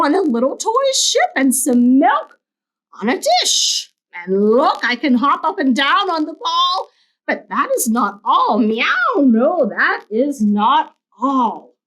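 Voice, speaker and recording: A mischievous show-off cat meows and chatters in a lilting, sing-song way, played by a woman reading aloud. The voice sits high and swoops up and down. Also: sing-song, slow, fairly bright tone, exaggerated voice, poor audio. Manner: bouncy, sing-song, meowing. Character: playful mischievous cat, male, showman.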